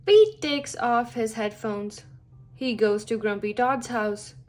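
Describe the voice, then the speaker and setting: Slow, high-voiced woman reading a storybook. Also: a little mellow. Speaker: children's story reader. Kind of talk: picture-book read-aloud for young children.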